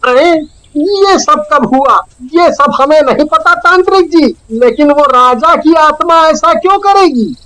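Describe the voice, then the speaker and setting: A woman voicing a simple-minded villager in a comic animated horror story, with exaggerated delivery. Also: sits high, a little slow, dry recording, rough recording. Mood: puzzled.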